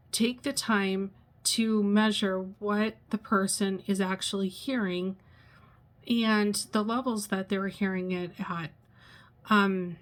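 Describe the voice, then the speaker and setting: A woman in her sixties sharing a personal vlog, pausing often and searching for words as she talks. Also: mid-range voice. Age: 60s. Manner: halting, searches for words, many pauses and fillers. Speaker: vlogger.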